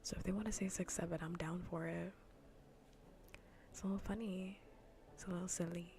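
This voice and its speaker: A woman streamer chatting playfully and casually in a soft, breathy, often near-whispered ASMR style, close to the microphone. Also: lowish voice, fairly even intonation, fairly quick, a little hiss or hum. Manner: playful, rambling, half-whispered casual talk. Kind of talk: soft-spoken ASMR gaming livestream chat.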